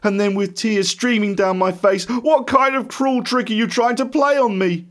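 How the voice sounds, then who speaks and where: A man playing a bitter, grief-stricken disciple in a dramatic first-person monologue, emotional and theatrical, swinging from hushed to shouting. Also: high-pitched, flat delivery, moderate pace, close to an everyday voice. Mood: angry, incredulous. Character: anguished, bitter doubting male disciple recounting his grief.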